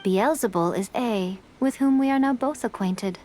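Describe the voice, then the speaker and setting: A woman's voice: a little high, normal speed, darkish tone, slight background noise, natural voice. A woman voicing a sly, playful fox-spirit woman in a game, with a poised, teasing way of speaking. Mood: calm. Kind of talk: game dialogue.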